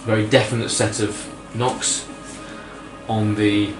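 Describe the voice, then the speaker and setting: A man with a fairly low voice improvises as game master in a casual, halting style. He sits back from the mic in a roomy space, with music clearly playing behind him. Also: little pitch movement, distant mic. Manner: casual, halting, searching for words. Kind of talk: improvised tabletop game narration. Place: indoor room, group around a game table.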